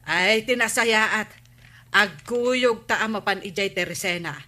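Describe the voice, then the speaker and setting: An actress with an Ilocano accent playing a suspicious, bossy village wife in a radio comedy drama, scolding with emphasis. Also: female voice, mid-range voice, slow pace, pushed and bright.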